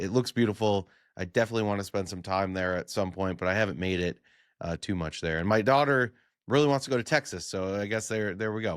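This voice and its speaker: A man co-hosting a travel podcast, talking loosely and rambling with fillers, his voice a little worn from yelling. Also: sits low, clean tone, no echo, clean studio sound. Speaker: podcast co-host. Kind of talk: casual travel podcast chat.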